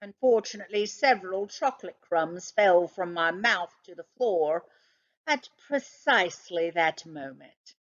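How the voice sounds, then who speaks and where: A woman reading a children's book aloud as an expressive narrator. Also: lowish voice, measured pace, lo-fi sound. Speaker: storybook reader.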